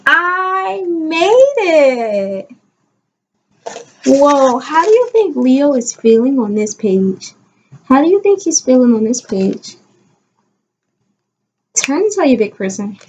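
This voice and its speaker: A woman kindergarten teacher giving an expressive read-aloud lesson to young children, coaxing listeners with questions and stressing key words. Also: sits high, slow, long pauses, lo-fi sound, slight background noise.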